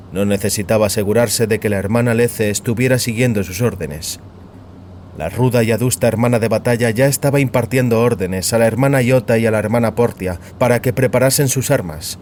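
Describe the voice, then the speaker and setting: Low-voiced male story narrator. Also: brisk, darkish tone, slight background noise. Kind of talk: scripted audiobook narration of science fiction in Spanish.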